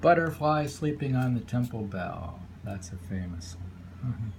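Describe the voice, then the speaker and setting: A man reading haiku aloud with casual commentary, in an unhurried, musing way with pauses between phrases. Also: medium pitch, expressive. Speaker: poetry reader and commentator. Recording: clearly noisy home recording with ambience.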